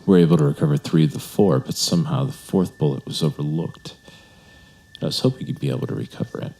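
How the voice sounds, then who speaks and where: An actor playing a suave, insistent homicide detective in an audio drama, formal and smoothly polite. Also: male voice, extremely low pitch, fast talker, warm tone, exaggerated voice. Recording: music under the voice.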